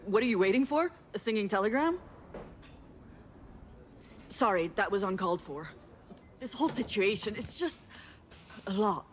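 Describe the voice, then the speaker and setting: A woman's voice: mid-range voice, animated, moderate pace, long pauses, a little hiss or hum, natural voice. An actress plays a tough, hot-tempered woman in a visual novel game. She is direct and sardonic, with a mid-range voice, confronting and blunt, over faint background ambience. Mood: uneasy, probing. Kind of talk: visual novel voice acting, dramatic dialogue.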